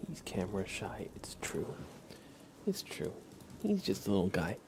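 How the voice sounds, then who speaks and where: A man softly whispering as an ASMR livestreamer, chatting affectionately as if to a pet. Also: low voice, sing-song, measured pace, gravelly, background noise. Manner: affectionate baby-talk to a pet cat.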